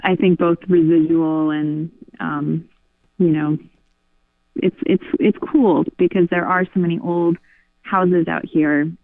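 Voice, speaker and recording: A woman's voice: lowish voice, steady tone, slow, no room sound. A woman caller telling a story casually over a phone line. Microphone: phone call. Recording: narrow tinny phone line.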